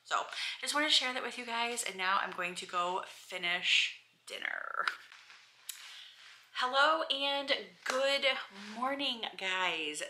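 Chatty bright-voiced woman vlogging at home. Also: medium pitch, slight background noise. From Alabama, USA. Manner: chatty, rambling, many ums and restarts. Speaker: lifestyle vlogger. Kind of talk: casual chat to camera. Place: bedroom at home, indoors.

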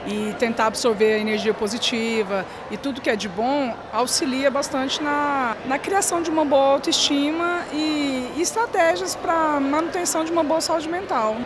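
A Brazilian woman speaks in a fairly high voice, answering a TV interview. Her phrases come haltingly, with pauses in the middle. A handheld mic picks her up in a large hall with clear background noise. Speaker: guest speaker being interviewed. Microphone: handheld reporter microphone.

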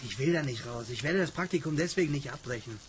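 A man's voice: mid-range voice, animated, fast talker, a little hiss or hum, lightly acted. A young man playing a curious, stubborn genetics intern in a radio drama, speaking plainly and casually. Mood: fascinated, defensive. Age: young adult. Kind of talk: naturalistic German radio play acting.

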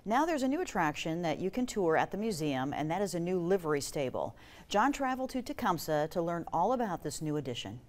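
Lively low-voiced American female TV presenter. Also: fairly quick. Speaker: TV co-host. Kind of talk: scripted studio presenting. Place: TV studio.

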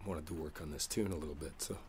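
A man playing a gentle, reassuring boyfriend in an intimate ASMR roleplay, speaking softly and without hurry. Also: extremely low pitch. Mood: tender, reassuring. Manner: soft, unhurried, soothing. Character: gentle, reassuring musician boyfriend coaxing partner to sleep. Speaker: roleplay audio performer. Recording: steady background noise, rain sounds. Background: rain ambience.

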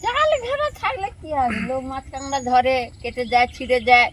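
High-pitched, animated older rural Bengali woman. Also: brisk. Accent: rural southern Bengal. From Sundarbans, India. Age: older, grandmother. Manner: animated, colloquial storyteller who acts out dialogue. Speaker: interviewee, villager who fishes and catches crabs. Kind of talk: casual storytelling in an interview. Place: outdoors by a riverbank village.

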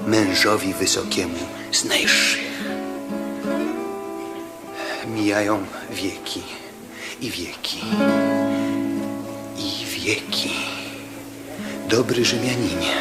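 An actor playing a fervent apostle preaching solemnly to a Roman governor in a radio drama. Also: male voice, mid-range voice, lightly acted. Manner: impassioned, solemn, declamatory. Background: music.

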